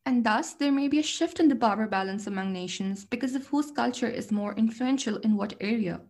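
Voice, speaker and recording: A young woman co-hosting a student podcast, reading her scripted lines in a measured way. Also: on the high side, darkish tone. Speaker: podcast co-host.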